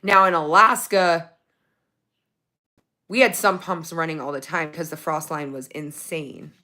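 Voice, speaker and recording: A woman hosting a casual livestream from her phone, reading viewer comments, her voice going raspy from talking so long. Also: low voice, unhurried. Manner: chatty, excited when thanking viewers for gifts. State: losing her voice, getting raspy. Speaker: livestream host.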